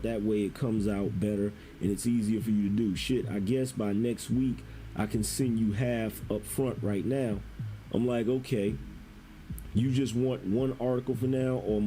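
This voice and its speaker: A man's voice: mid-range voice, mellow, slight background noise, rough recording. A man casually recounting conversations in the first person, informal and slangy with some profanity. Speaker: internet commentator.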